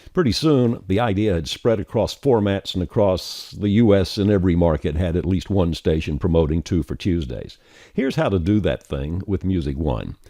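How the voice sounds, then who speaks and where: A low-voiced man walks through a tutorial in a folksy, conversational style. His delivery rises and falls with plenty of variety. The recording is dry and close, with no room sound. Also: mellow, dry recording. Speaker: software tutorial presenter. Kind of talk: scripted tutorial narration, explaining steps on screen.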